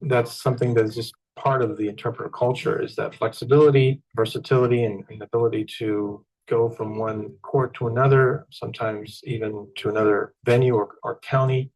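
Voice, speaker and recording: A man's voice: medium pitch, fairly even intonation, a little fast, few pauses, a little mellow, rough recording. A man with a mid-range voice explains his profession in an interview. His phrasing is formal and articulate, often setting out points in lists. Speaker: court interpreter. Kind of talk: documentary interview.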